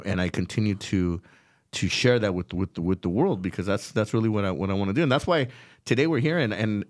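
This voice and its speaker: A man's voice: fairly low pitch, dry recording, studio quality. An American man from Los Angeles, talking earnestly and conversationally as an interview host, with emphatic repetitions. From Los Angeles, United States.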